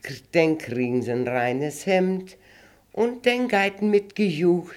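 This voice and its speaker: An older woman with a Low German accent slowly reciting rhymed dialect poems in a measured, sing-song way. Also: a little mellow. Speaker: interviewee reciting dialect verse.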